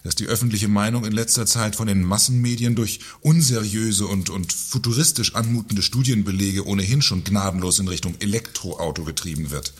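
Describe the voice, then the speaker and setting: A man's voice: lowish voice, a little fast, hardly any pauses, husky. An actor playing a pompous, condescending male company director in a satirical radio play, speaking in formal, sarcastic phrases. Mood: indignant. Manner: sarcastic, haughty, formal wording.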